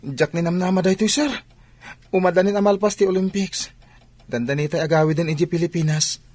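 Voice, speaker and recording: A man's voice: high-pitched, steady tone, a little fast, darkish tone. A man playing an eager, respectful young athlete and devoted son in an Ilocano radio drama. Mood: earnest. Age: young adult.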